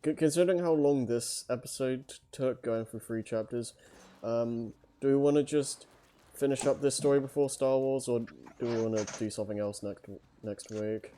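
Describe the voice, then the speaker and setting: A man chatting casually as a livestream host, speaking in a hesitant, halting way. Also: medium pitch, fairly even intonation, mellow, slight background noise. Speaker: host of a story-reading livestream. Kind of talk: casual chat.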